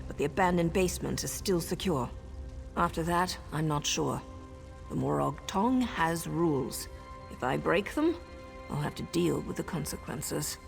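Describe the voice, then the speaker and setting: Fantasy game: pragmatic female elf assassin, low register, fry-edged, cautious, faint music behind. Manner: sober, cautious, matter-of-fact. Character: pragmatic, principled dark elf assassin woman, wary mentor. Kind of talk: fantasy video game dialogue.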